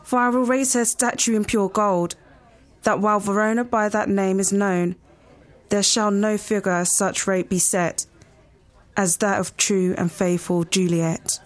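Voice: medium pitch, fairly even intonation, unhurried, close to an everyday voice. An actor with a British accent playing a grave, mourning noble in a Shakespearean audio drama, solemn and formal. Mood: sorrowful reconciliation. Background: soft plucked-string music.

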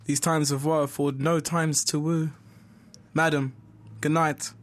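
A man's voice: a little high, little pitch movement, measured pace, natural voice. A man playing a courteous, eager young noble suitor in a Shakespeare audio drama.

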